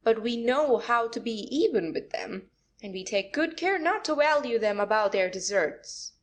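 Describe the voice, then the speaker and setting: An actress playing a scheming, scornful young wife in a classic comedy audio play, with a haughty theatrical manner. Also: female voice, on the high side, lively intonation, normal speed. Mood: scornful, confiding.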